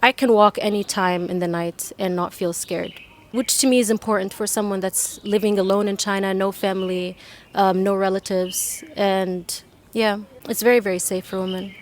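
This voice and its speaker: A woman's voice: mid-range voice, steady tone, normal speed, a little hiss or hum. A woman in her late twenties, Palestinian Canadian, speaking earnestly and emphatically as an interviewee. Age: 20s. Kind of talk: casual interview.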